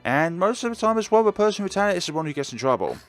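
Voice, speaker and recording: A man's voice: high-pitched, big pitch swings, rapid-fire. A man giving casual, chatty game commentary, reacting expressively as a let's play host. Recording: background game music.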